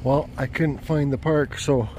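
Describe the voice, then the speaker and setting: A man's voice: mid-range voice, steady tone, a little slow. A man talking to the camera while he drives on a road trip, rambling and correcting himself, swearing now and then, with the car's faint hum behind him. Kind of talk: casual chat while driving. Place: inside a car on the road. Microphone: phone camera mounted on the dash. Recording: faint car noise behind.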